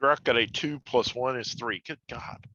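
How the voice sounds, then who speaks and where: A man's voice: medium pitch, moderate pace, sharp tone, no echo. A man playing a tabletop game, bantering casually with the group, on slightly muffled audio.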